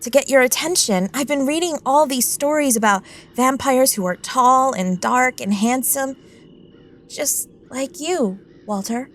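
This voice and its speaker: A woman's voice: high voice, a little slow, fairly bright tone. An actress in a radio drama playing a naive, nervous young lady with an anxious manner. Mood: sheepish, apologetic. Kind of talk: immersive radio drama acting.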